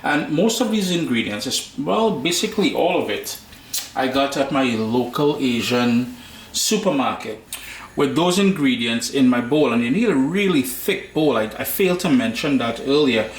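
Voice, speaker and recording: Relaxed Trinidadian man in an echoey kitchen. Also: mid-range voice, normal speed, a little hiss or hum. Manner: relaxed, chatty, slangy asides. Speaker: cooking show host. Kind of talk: casual cooking tutorial.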